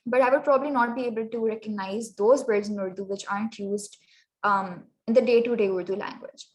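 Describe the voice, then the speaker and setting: A woman's voice: on the high side, fairly even intonation, a little fast, darkish tone, poor audio. Chatty young woman with a Pakistani English accent. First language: Sindhi. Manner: chatty, many fillers, slips into Urdu phrases. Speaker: workshop presenter. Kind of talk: casual presentation.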